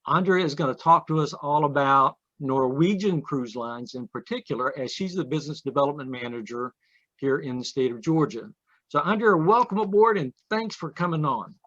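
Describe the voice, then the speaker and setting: A man's voice: a little high, animated, moderate pace, a bit bright, dull audio. A man hosting a casual interview over a video call, speaking in a friendly, conversational way. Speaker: host.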